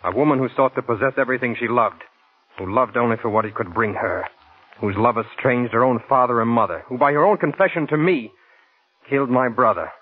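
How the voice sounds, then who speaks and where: Male actor as wounded widower, husky mid-pitched voice, grave deliberate delivery, vintage radio drama, muffled recording. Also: lightly acted. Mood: grave, bitter. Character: grim, wounded widowed husband testifying in court. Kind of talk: 1940s radio drama acting.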